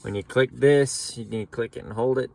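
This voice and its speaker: A man giving a relaxed, folksy how-to walkthrough from inside a truck. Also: medium pitch, moderate pace, gravelly. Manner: relaxed, folksy explaining with casual slang. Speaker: mechanic demonstrating CB radio setup. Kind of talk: casual how-to narration.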